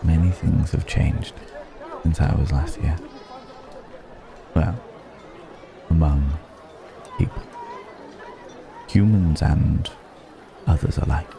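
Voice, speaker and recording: A man's voice: rumbling low, slow, vocal fry, pauses often, crowd noise, noisy background, exaggerated voice. A man playing a wry, curious ancient dragon passing as a stranger, musing in formal, archaic phrasing in an ASMR roleplay. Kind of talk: ASMR roleplay audio drama.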